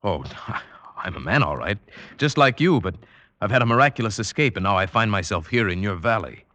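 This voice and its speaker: A man's voice: on the low side, expressive, brisk, bright, forceful tone, dry recording. An actor playing an eager, bewildered traveler telling his tale in an old-time radio drama, with theatrical, emphatic delivery. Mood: amazed.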